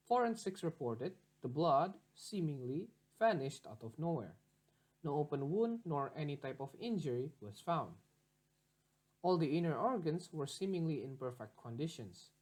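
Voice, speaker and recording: Guy w/ slightly higher voice narrating an investigation log, formal and measured, like an official report. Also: a little mellow. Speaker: narrator reading a case report.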